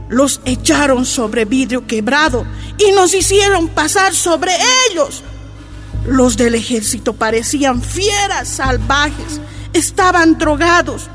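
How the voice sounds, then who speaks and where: An actress playing a mother from a mining camp in a radio drama, giving grave, halting testimony. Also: high voice.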